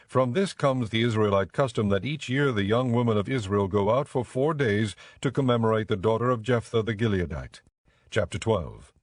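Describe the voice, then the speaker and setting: A man giving scripted, measured and solemn narration for a dramatized audio Bible. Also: lowish voice, no room sound, studio quality. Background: background music.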